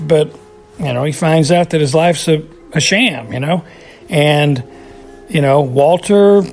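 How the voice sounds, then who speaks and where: A man giving his casual recorded thoughts on an episode for a podcast, rambling with many fillers and restarts. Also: fairly high pitch. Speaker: podcast contributor.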